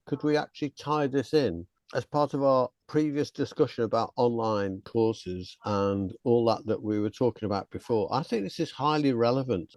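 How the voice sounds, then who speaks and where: A man's voice: medium pitch, darkish tone, no room sound, dull audio. A man leading a discussion, asking long reflective questions about learning. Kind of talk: conversational discussion.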